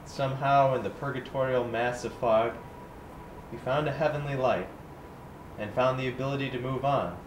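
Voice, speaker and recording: A man's voice: medium pitch, steady tone, normal speed, close to an everyday voice. Guy playing an earnest writer at a staged reading, mid voice, roomy and noisy recording. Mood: solemn. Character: earnest male writer presenting his own story. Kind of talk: staged reading, literary and dramatic. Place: indoor room at a staged reading.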